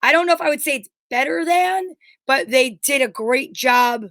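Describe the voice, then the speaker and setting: A woman with a high voice chats informally as a co-host, close and dry in sound. Her delivery is conversational and agreeable. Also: animated, moderate pace, a bit bright, no room sound. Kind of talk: casual chat, reading out viewer comments.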